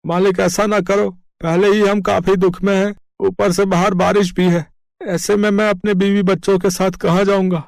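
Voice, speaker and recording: A man's voice: high voice, little pitch movement, normal speed, vocal fry, darkish tone, dry recording. A man voicing a poor village farmer, an earnest, plaintive husband and father, in a moral story. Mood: despairing, pleading.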